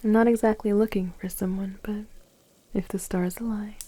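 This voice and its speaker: A woman plays a mature, affectionate woman in her forties, flirting gently in an ASMR roleplay. Her voice is mellow and mid-pitched, soft and breathy, often dropping close to a whisper. She talks intimately and takes her time. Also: steady tone, moderate pace, lightly acted. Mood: warm, relaxed. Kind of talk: ASMR romantic roleplay, intimate one-sided dialogue.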